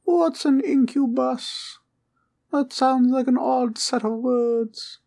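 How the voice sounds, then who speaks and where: A woman playing a sweet, innocent talking teddy bear in a comfort roleplay, speaking in a gentle, quaint, reassuring way. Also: sits high, slow pace. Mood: innocent, agreeable. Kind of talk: roleplay comfort audio acting.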